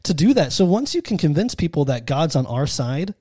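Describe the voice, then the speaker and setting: A man co-hosting a casual faith podcast, talking earnestly with halting restarts. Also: on the high side, sing-song, dark tone, no room sound, studio quality. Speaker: podcast co-host.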